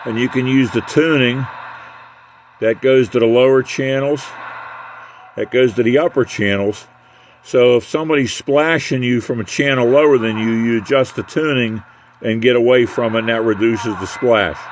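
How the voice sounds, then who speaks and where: An older American man giving a casual hands-on equipment demonstration in a halting, unhurried way. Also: mid-range voice, slight background noise. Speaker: radio hobbyist demonstrating equipment.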